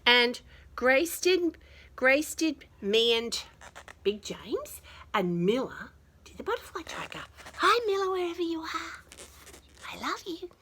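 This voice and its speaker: A woman with an Australian accent reading a picture book to young children, adding chatty, affectionate asides in an expressive way. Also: high voice, takes it slowly, a little hiss or hum. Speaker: children's storyteller and teacher.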